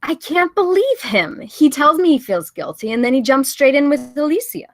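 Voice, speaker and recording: A woman's voice: high-pitched, expressive, moderate pace, no echo. A woman playing a hurt, confrontational young woman in a drama table read. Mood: hurt, angry.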